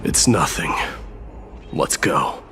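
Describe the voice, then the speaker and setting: A man's voice: very deep voice, expressive, slow, background noise, full character voice. A man voicing a stern, battle-hardened warrior king in a video game, terse, grave and proud. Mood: restrained. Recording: loud music under the voice. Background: loud game music.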